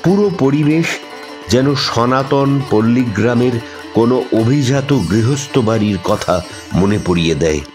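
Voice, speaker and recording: A man narrating a scripted ghost story in a dramatic, suspenseful style with measured phrasing. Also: mid-range voice, lively intonation. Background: instrumental music.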